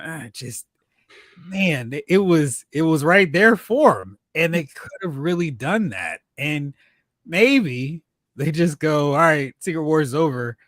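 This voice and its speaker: A man's voice: fairly high pitch, fairly bright tone, no echo, clean studio sound. A man hosting a live talk stream, chatting casually and giving fan commentary. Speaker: host of a live talk stream on movies and comics.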